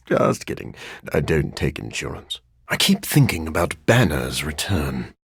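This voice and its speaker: Brooding vampire antihero for a video game: low, husky, wry, formal diction, close dry recording. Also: male voice, very animated, a little slow, no room sound, full character voice. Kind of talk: video game character voice acting.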